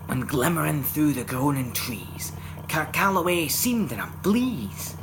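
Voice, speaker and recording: Male Scottish poetry reciter. Unhurried, expressive storytelling with wide swings in pitch; noticeable background hum on the recording. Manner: theatrical, expressive storytelling delivery. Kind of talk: dramatic recitation of Scots narrative verse.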